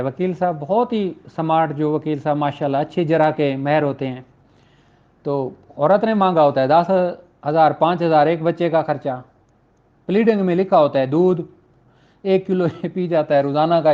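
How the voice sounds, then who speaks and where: A man with a Pakistani accent giving an informal law lecture in a conversational, questioning way. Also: on the high side. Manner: conversational, poses rhetorical questions, acts out courtroom exchanges; switches between Urdu and slowly read English legal text. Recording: dull, lo-fi muffled audio.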